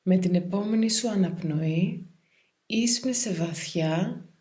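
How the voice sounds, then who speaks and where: A woman guides a meditation in a calm, soothing, measured way, leaving pauses between phrases. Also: medium pitch. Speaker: yoga nidra meditation guide.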